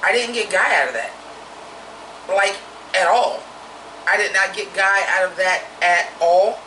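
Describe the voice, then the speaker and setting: A woman with a naturally deep voice giving a blunt, profane, opinionated drag review in a casual vlog. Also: measured pace, pushed and bright, echoey room, far from the mic, a little hiss or hum, low-quality recording.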